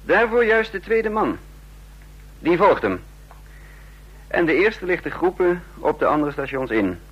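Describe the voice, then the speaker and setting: A commanding, sardonic intelligence chief in a radio drama. His delivery swings freely in pitch and is slightly husky, brisk and teasing. The recording is dull and lo-fi. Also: big pitch swings, heavily acted. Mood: brisk, instructing. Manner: brisk, teasing, giving orders. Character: sardonic, commanding male chief of secret agents. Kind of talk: Dutch radio play acting.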